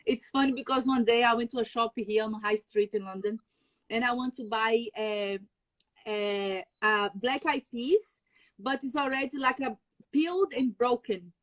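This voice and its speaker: A woman's voice: on the high side, moderate pace, dry recording, poor audio. A woman from Brazil with a Brazilian Portuguese accent, chatting as a guest chef over a video call, laughing often and searching for words. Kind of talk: casual video-call chat.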